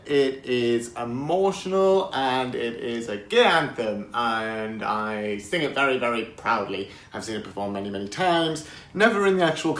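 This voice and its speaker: A man with a croaky voice chatting enthusiastically to camera outdoors on a windy day, laughing often. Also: mid-range voice, a bit bright, roomy sound. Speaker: vlogger. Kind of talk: casual chat to camera.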